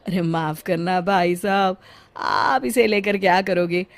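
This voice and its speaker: A woman's voice: fairly low pitch, measured pace. A woman voicing a male street peddler in a cartoon, with blunt talk and hawking calls. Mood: blunt, dismissive. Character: loud roaming male street comb peddler.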